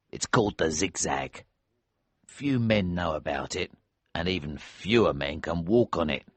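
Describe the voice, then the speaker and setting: A man playing a vengeful smuggler and innkeeper who talks in a cold, measured way. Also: deep voice, fairly bright tone, no room sound, exaggerated voice. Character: grim, vengeful older male innkeeper and smuggler. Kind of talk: audiobook character voice.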